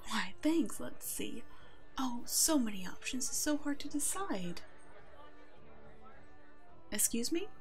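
A woman playing a kind, protective werewolf in an ASMR roleplay drama, speaking gently and reassuringly as if to a child. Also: a little high, animated, takes it slowly, pauses often, soft background music, some chatter behind. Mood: warm, gentle. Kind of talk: ASMR roleplay audio drama, one-sided dialogue.

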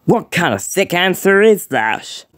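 A man voicing a grumpy, easily exasperated cartoon creature with a gravelly voice, drawing out words and swinging from mutters to outbursts. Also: sits high, sing-song, unhurried, a bit bright, no room sound, studio quality, heavily acted. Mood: irritated. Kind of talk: solo cartoon character voicelines.

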